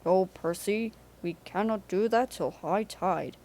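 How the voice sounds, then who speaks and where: A woman's voice: mid-range voice, a little slow, a little mellow, natural voice. A woman voicing a stern male railway controller giving firm reprimands in a storybook.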